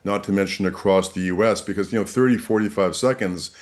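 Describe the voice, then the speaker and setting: A man's voice: low voice, a little fast, darkish tone. A man speaking as an expert guest in a news interview, explaining things with emphatic repetition.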